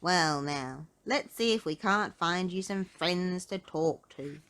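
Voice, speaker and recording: A woman's voice: on the low side, takes it slowly, a bit bright. A woman voicing a big, kindly storybook man with a hearty, emphatic manner.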